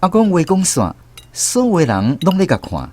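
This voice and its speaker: A man's voice: fairly high pitch, wildly swooping pitch, moderate pace, warm tone. A man with a Taiwanese accent narrating a scripted first-person story as a radio drama storyteller.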